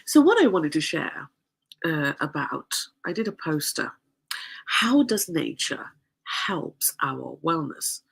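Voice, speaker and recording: Nasal, gravelly woman rambling slowly. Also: deep voice, big pitch swings. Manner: chatty, rambling, frequent fillers and laughs. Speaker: podcast host. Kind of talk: casual solo talk.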